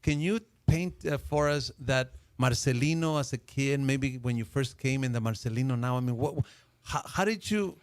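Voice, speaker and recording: A man's voice: medium pitch, moderate pace, few pauses, clear, resonant tone, no echo. Eager man hosting an interview. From Mexico. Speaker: host.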